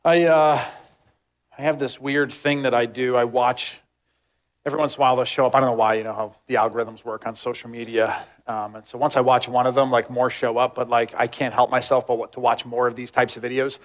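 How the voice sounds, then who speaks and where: A pastor preaching a casual, rambling sermon, full of "like" and asides to the congregation. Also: male voice, a little fast. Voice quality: says he has a deep voice. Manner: rambling, animated, heavy use of "like".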